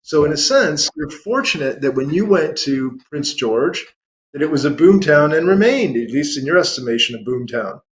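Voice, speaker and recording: A man's voice: on the high side, animated. Thoughtful male guest author. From San Francisco, USA. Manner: thoughtful, measured, with small restarts. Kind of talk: casual interview chat.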